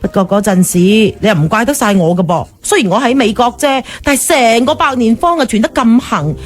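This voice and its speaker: Gossipy pushy sister-in-law in a radio drama, very emphatic, music clearly behind her. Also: fairly high pitch, expressive, brisk. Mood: apologetic, gushing. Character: chatty, forthright sister-in-law, effusive and pushy.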